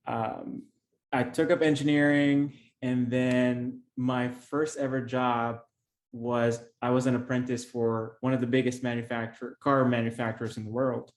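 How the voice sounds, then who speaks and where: A middle-aged Filipino man speaks as a podcast guest and tells his story earnestly, often restarting his sentences. His voice sits at an ordinary pitch. The room around him rings with echo. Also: flat delivery, a little slow. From Philippines. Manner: earnest, many restarts and fillers. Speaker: podcast guest, real estate investor. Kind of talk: conversational interview storytelling. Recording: echoey room.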